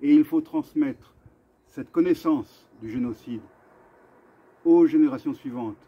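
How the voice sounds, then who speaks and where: A man hosting an earnest commemorative address to camera, speaking deliberately with halting pauses and repetitions. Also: on the high side, breathy edge. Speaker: video host and association vice-president. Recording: dull, muffled lo-fi sound.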